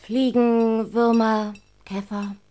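A woman's voice: a little high, flat delivery, slow, dark tone, a little hiss or hum. A woman playing a busy, practical mother in a radio drama, talking naturally in a motherly, instructive way. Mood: patient, explaining. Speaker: actor in a radio play.

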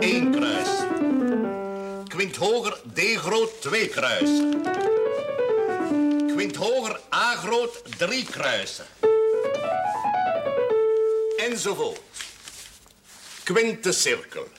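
Stern, pompous old pastor. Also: male voice, sits high, big pitch swings, over loud music, exaggerated voice. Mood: lecturing, exasperated. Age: older. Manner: formal, pompous, measured and admonishing. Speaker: actor in a television drama series. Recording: faint background noise, old television audio.

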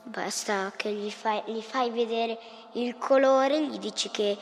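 A young-sounding female in a radio play, speaking in simple, childlike, halting and repetitive phrases. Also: on the high side, fairly even intonation. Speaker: performer in a live radio play. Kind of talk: simple childlike dialogue and teaching. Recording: very reverberant hall, faint music.

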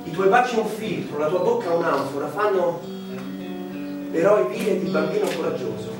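An actor playing a fervent lover, declaiming poetry in a theatrical, impassioned way. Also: male voice, on the high side, animated, normal speed, lightly acted. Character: fervent male lover reciting dark verse to beauty. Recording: echoey stage, distant, guitar music behind.

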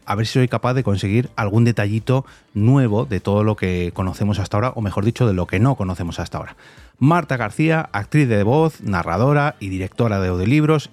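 A middle-aged man with a Spanish accent hosts a podcast. His voice sits at an average pitch, recorded dry and close. He talks conversationally, as if to a guest and regular listeners. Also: darkish tone, no echo. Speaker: podcast host. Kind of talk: conversational podcast presenting and interviewing.

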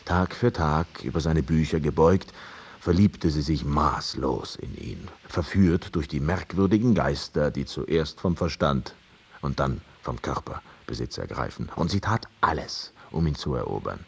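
A man's voice: rumbling low, unhurried, gravelly, slightly rough, full character voice. An actor in a radio drama playing a talkative, curious and teasing man who tells stories in a theatrical, ironic way. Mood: storytelling. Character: talkative, curious, teasing male host telling an old tale. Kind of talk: German radio drama, dialogue and storytelling.

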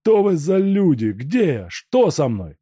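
A man's voice: fairly high pitch, very animated, measured pace, dark tone, slightly rough, no room sound, pitched above the performer's own voice, full character voice. A man reading an audiobook and voicing a captured male robber who begs and threatens in a strained, raised voice. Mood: bewildered. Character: desperate captured male robber, pleading then menacing. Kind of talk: audiobook character voice.

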